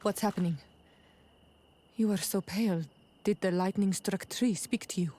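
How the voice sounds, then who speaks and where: An actress playing a proud young native clan princess in a fantasy video game, speaking earnestly and deliberately. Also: female voice, medium pitch, steady tone, mellow, lightly acted. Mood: concerned. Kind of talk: video game cutscene dialogue.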